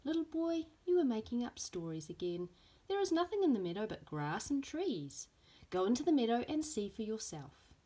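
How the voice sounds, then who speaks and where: A woman voicing a firm, dismissive mother in a storybook, giving clear instructions. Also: fairly high pitch, big pitch swings, moderate pace, warm tone, pitched above the performer's own voice.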